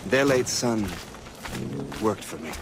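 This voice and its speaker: Courteous, formal army colonel. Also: male voice, lowish voice, expressive, background noise. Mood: encouraging. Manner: formal, measured, gentlemanly. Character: courteous, high-minded male colonial-era army colonel. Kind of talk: dramatic game cutscene dialogue.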